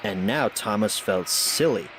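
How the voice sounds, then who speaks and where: A man reads a children's story aloud as narrator. He takes it slowly and carefully, with lively, expressive movement in pitch. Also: slight background noise, rough recording. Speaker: amateur story reader.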